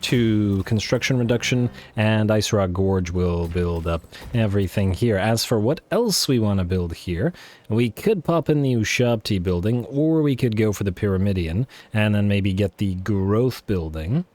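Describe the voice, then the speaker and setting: Game commentator, male, lowish voice, hoarse from overuse, muses aloud with many fillers. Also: a little slow, a little mellow, no echo. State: losing his voice. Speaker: let's play host. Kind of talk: casual strategy game commentary.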